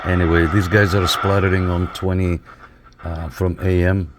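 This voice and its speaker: Deep-voiced man doing a casual review. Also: flat delivery, normal speed. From Canada. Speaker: CB radio reviewer and operator. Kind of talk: casual hands-on product review. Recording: clear background noise.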